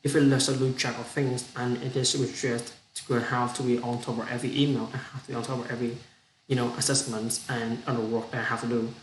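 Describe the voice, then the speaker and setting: A young man with a Vietnamese accent talks through an unscripted practice monologue in English, his second language. He speaks in a flat, even tone, stumbling into restarts and repeating words. The room is echoey, with a faint hiss behind him. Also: medium pitch, fast talker. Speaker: student practicing spoken English.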